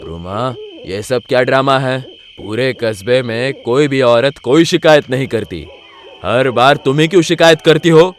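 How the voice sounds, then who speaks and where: A man playing a dismissive, scolding village headman in an animated story. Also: medium pitch, unhurried, close to an everyday voice.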